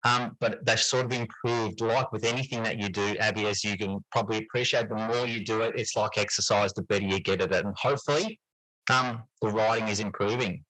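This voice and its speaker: A man's voice: lowish voice, fairly even intonation, fairly quick, fairly bright tone, dry recording, poor audio. A middle-aged man answering interview questions in a conversational, reflective and explanatory way. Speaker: interview guest, teacher and writer.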